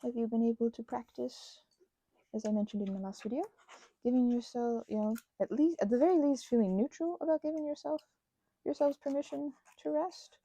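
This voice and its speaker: A woman with a mellow, gravelly voice talks through an unscripted craft ramble in ASMR style. She is earnest and halting, often circling back to correct herself. The sound is dry and close. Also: a little high, no echo. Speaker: host of a craft ramble channel.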